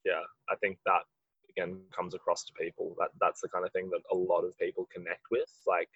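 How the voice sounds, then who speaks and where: A musician with a husky voice, answering interview questions in a rambling way, full of "like". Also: male voice, sits low, monotone, brisk, fairly bright tone, dry recording, lo-fi sound. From Australia. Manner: rambling, heavy use of "like". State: husky from late rehearsal. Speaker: musician in a band.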